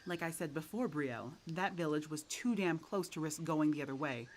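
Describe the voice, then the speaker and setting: Low-voiced, menacing huntress acted by a woman. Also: a little fast, slight background noise, lightly acted. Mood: irritated. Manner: curt, threatening, sardonic. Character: hard-bitten female poacher huntress, irritable and menacing. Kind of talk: audio roleplay drama.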